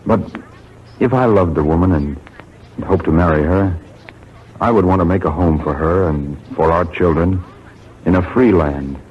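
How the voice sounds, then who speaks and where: An actor playing a solemn, idealistic young colonial gentleman with a halting, earnest delivery in a radio drama. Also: deep voice, husky. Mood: tender, earnest. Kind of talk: 1940s radio acting. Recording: dull, faintly noisy 1940s radio recording.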